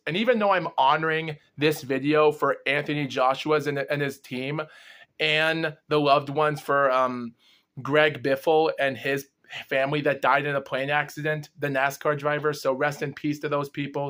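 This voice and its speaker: A young man with a groggy, slightly ill voice, hosting his own paranormal exploration vlog and rambling in long run-on sentences. He talks without pausing for breath and sometimes stumbles over his words. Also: fairly high pitch, fairly bright tone. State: groggy and under the weather. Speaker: host of a paranormal exploration series. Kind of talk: rambling solo vlog recap.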